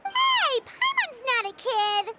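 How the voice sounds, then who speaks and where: A woman voicing a tiny, chirpy, excitable childlike fairy companion in an anime video game. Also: takes it slowly, heavy background noise, exaggerated voice. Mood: indignant. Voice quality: squeaky, childlike. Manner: perky, exclamatory, refers to self by name. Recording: music behind the game dialogue.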